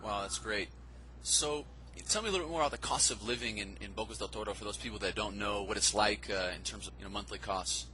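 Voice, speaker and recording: A male podcast host with a bright, forceful voice that rattles along quickly. He asks his questions in an upbeat, enthusiastic way. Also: on the low side, a little hiss or hum, poor audio. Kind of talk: interview hosting.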